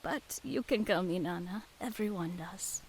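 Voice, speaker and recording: A woman plays a kindly nurse in an audio drama. She has a Spanish accent and a quiet, reassuring manner, with a light hiss on the recording. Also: fairly low pitch, unhurried. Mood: friendly, polite. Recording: faint hiss, slightly noisy.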